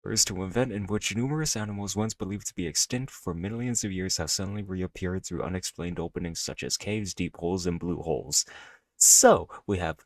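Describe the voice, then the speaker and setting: A man's voice: low voice, a little fast, dry recording. A man giving casual, jittery, profane reaction commentary with dramatic responses. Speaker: reaction video host.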